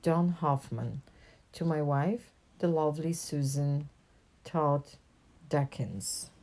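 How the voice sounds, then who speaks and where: A woman reads a picture book aloud in an expressive storytelling style for children. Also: sits low, takes it slowly, a little hiss or hum. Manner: animated character dialogue with plain narration.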